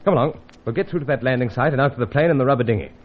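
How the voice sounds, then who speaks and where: An actor playing a suave, unflappable British secret agent in an old-time radio adventure serial, breezy and gallant, with old-fashioned exclamations. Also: male voice, mid-range voice, very fast, lightly acted. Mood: brisk. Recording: dull, lo-fi radio serial recording.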